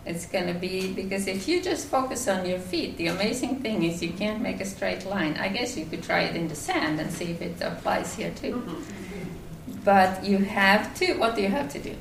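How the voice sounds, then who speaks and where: A woman from Finland with a Finnish accent, giving a devotional talk at a retreat, halting with many fillers and restarts. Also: mid-range voice, lively intonation, creaky, reverberant, distant mic, slight background noise. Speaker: retreat speaker.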